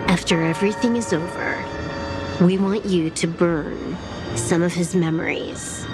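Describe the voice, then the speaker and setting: A woman voicing a calm, enigmatic woman in a game cutscene, speaking in a measured, composed way. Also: fairly low pitch. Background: game soundtrack music.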